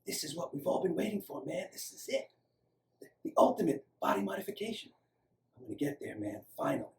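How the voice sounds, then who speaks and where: A man voicing an obsessive, excitable young man making a video diary in a story, halting and breathless with zeal. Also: on the high side, creaky. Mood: fervent. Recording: roomy, echoing, distant microphone.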